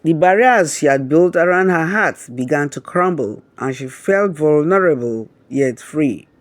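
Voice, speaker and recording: A man narrating a scripted romantic folktale in a measured, dramatic storybook manner. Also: a little high, lively intonation, dry recording.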